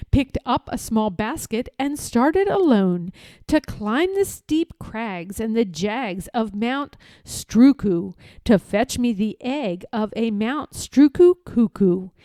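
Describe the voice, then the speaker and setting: A woman reading a rhyming children's storybook aloud in an expressive, playful way, with deliberate and emphatic phrasing. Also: a little high, no room sound, clean studio sound.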